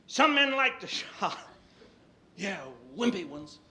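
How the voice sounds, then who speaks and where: A middle-aged man with a high, squeaky voice telling comic stories live, animated and swinging between hushed asides and loud exclamations. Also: slow pace. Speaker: stand-up comedian. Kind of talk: live comedy storytelling to an audience. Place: live stage before an audience. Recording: live stage recording, noisy background.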